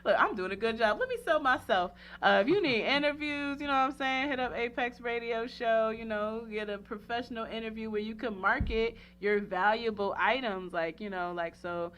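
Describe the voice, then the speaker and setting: A woman's voice: a little high, moderate pace, few pauses, fairly bright tone, a little hiss or hum. A woman hosting a casual radio interview, effusive and slangy, rambling and often repeating herself. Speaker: radio show host and interviewer. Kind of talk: casual promotional interview chat.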